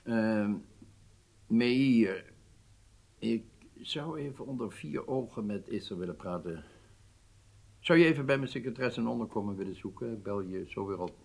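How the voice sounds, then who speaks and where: An actor playing a senior Israeli statesman in a Dutch radio drama, deliberate and persuasive, conciliatory but firm. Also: on the low side, vocal fry. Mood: measured, reluctant.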